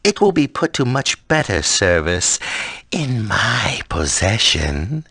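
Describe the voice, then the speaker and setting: A man's voice: fairly low pitch, big pitch swings, takes it slowly, pushed and bright, slightly rough, dry recording, clean studio sound, exaggerated voice. A man playing a smug, mocking villain in a video game, sneering as he draws out his taunts. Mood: gloating, taunting. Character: smug, mocking male villain who belittles a rival assassin.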